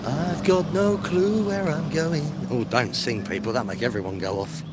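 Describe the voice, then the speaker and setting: A man's voice: on the high side, moderate pace. A man chatting casually to a live stream, rambling and matey, with a self-deprecating way of talking. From England. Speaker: livestreaming travel vlogger. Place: outdoors on streets, walking. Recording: clear outdoor street noise, traffic.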